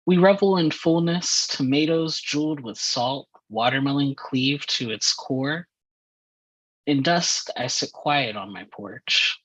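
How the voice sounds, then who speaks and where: Husky, slow-speaking poetry reader. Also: medium pitch, a bit bright, dry recording, low-quality recording. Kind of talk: dramatic poetry reading.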